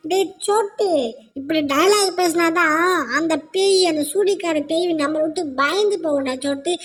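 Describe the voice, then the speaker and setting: A woman's voice: very high-pitched, normal speed. A woman voicing a boastful boy sidekick who spouts mock-heroic movie dialogue in a cartoon. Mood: chatty. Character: boastful boy sidekick Rolex, clowning hero talk. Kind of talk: Tamil cartoon voice acting.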